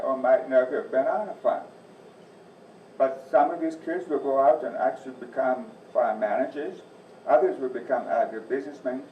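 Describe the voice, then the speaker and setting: Man in his 60s, softened Midwestern accent, folksy with dry asides; distant, muffled church-hall sound. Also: mid-range voice, slight background noise. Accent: softened Midwestern American. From Northeast Iowa, USA. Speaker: agriculture teacher and farm advisor. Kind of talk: presentation to a church audience. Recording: distant, echoey, muffled room recording.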